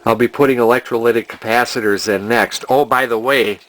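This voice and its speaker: A man's voice: medium pitch, steady tone, a little fast, fairly bright tone, a little hiss or hum. An older man, casually narrating a repair as a hobbyist, rambling and hesitating as he thinks aloud. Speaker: hobbyist vintage TV restorer.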